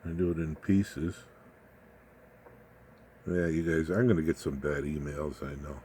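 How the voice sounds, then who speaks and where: A man's voice: a bass voice, little pitch movement, measured pace, mellow, a little hiss or hum. A man explaining electronics informally as a hobbyist presenter, in a folksy, rambling, slangy manner.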